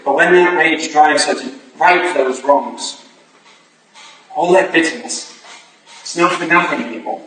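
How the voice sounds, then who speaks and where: A man's voice: on the high side, unhurried, long pauses, a bit bright. A man playing an earnest, pleading Victorian husband in a staged reading of a period play. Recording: echoey hall, distant mic, hissy noise.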